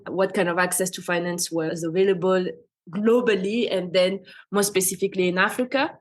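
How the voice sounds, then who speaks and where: A woman from France speaking as an interview guest, explaining her work in conversational answers. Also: medium pitch. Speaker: interview guest, fintech founder and CEO.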